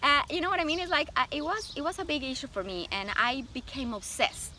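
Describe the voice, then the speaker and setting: A woman's voice: high voice, sing-song, a little hiss or hum. Colombian-accented woman, laughs easily. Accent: Colombian Spanish. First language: Spanish. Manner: warm, laughs often, thoughtful pauses with fillers. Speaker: guest, image consultant. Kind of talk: casual interview.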